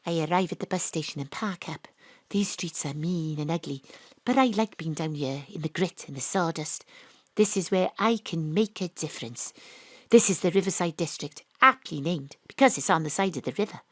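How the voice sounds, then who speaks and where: Deadpan wry woman narrating noir parody. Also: low-pitched, moderate pace, no room sound. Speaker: narrator and lead actor, private detective. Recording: dry home studio.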